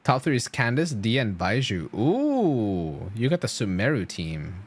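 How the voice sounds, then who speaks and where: A man's voice: fairly low pitch, big pitch swings, a little slow. A man streaming a game, chatting casually to viewers in an enthusiastic, slangy, rambling way.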